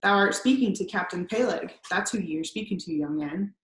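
Brief: woman doing a blustering, suspicious old sea captain, teasing and gruff, in an echoey room. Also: fairly low pitch, expressive, brisk, fairly bright tone, slight background noise. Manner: blustering, teasing, archaic speech.

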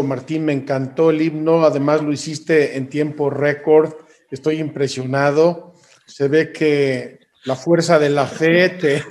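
A man, a priest, hosting an interview in a courteous, measured way and thanking his guest warmly. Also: fairly high pitch. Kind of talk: slow, formal interview hosting.

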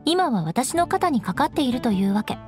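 An actress playing a proud, stoic young noblewoman knight in an anime game, speaking in a composed, haughty, measured way. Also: sits high, very animated, a little mellow, soft background music. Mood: calm, resigned. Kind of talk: Japanese anime game voice acting.

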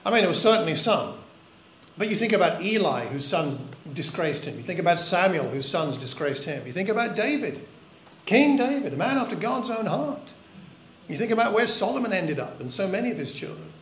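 An older man delivers a sermon from the front of a church, earnest and reflective, leaning on rhetorical questions. His voice sits a little high for a man. The room is echoey, with a faint hum of ambience behind him. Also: sing-song, brisk, fairly bright tone. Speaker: preacher. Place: church hall.

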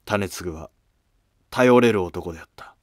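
A man's voice: sits low, measured pace, no room sound, studio quality. A man playing a stern, sorrowful emperor of ancient Japan, speaking in solemn, commanding archaic phrases for a history drama.

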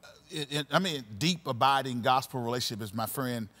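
A man who is a pastor, hosting a podcast and speaking in a measured, affirming way. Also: medium pitch, pushed and bright. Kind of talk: conversational interview.

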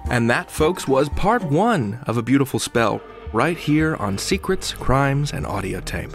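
A man's voice: medium pitch, a little slow, gravelly, faint music behind. A man as podcast host reading scripted outro announcements in a friendly promo manner. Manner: friendly, even promo read.

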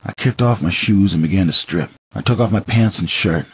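Deep, husky man narrating dramatically. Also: brisk, highs cut off. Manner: theatrical, swinging from hushed to heated. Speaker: audiobook narrator. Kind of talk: dramatic first-person memoir narration.

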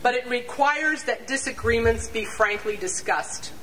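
An older woman reading a prepared statement aloud to a rally on an outdoor lawn, measured and deliberate, sometimes stumbling and restarting over numbers. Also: fairly high pitch, lively intonation. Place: outdoor campus lawn before a large crowd. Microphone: standing mic on stand, picked up from a distance. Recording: distant outdoor pickup with crowd noise.

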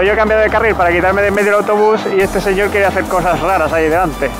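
A man reviewing a motorcycle while riding it through city streets, talking casually and joking at his own expense, recorded on a helmet camera mic. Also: high-pitched. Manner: chatty, joking, laughs at himself. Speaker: motovlogger.

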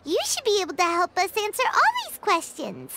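A woman voicing a small, excitable floating fairy girl companion in a video game, bubbly and talking about herself by name. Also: very high-pitched, normal speed, heavy background noise, full character voice. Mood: chirpy.

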